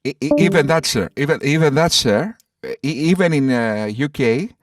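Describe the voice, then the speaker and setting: A man's voice: medium pitch, lively intonation, normal speed, dry recording, clean studio sound, distant mic. A man arguing as an atheist in an online voice-chat debate, who stammers, repeats himself and calls others "sir.". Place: voice chat call.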